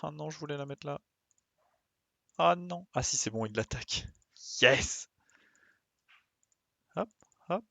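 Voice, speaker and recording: A man's voice: on the high side, takes it slowly, creaky, pauses often, sharp tone, no room sound. A man giving casual live commentary as he plays a game, muttering short interjections as he thinks aloud. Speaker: game streamer.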